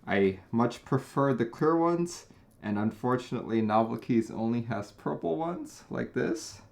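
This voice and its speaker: A man from Taiwan hosting a casual live stream, talking in a chatty, relaxed way with frequent small restarts. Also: mid-range voice, a little slow, creaky. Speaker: host.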